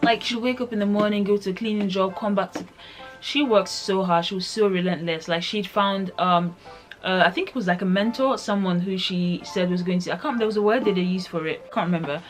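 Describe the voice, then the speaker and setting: A woman's voice: medium pitch, brisk, soft background music, rough recording. A young woman reviewing a book in a chatty, rambling way, full of "like" and asides. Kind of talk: casual book review vlog.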